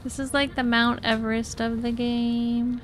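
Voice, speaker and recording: A woman's voice: on the high side, level tone, a little slow, faint music behind. An American woman from Florida giving casual live gameplay commentary, chatting with viewers as a streamer. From Florida, USA. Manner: chatty, greets and thanks viewers. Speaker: live game streamer and host.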